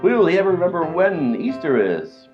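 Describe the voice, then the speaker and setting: Animated male serial announcer over music. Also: high-pitched, moderate pace, dull audio. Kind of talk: mock-dramatic radio serial narration.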